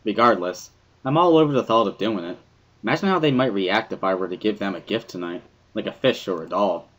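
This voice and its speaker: Male narrator for visual novel: lowish, introspective, animated first-person storytelling. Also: fairly quick. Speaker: dramatic reader of a visual novel.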